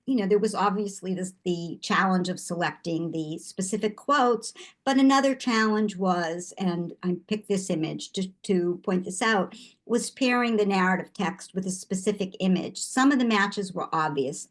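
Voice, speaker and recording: An older woman, a guest artist, giving thoughtful interview answers with frequent restarts. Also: medium pitch.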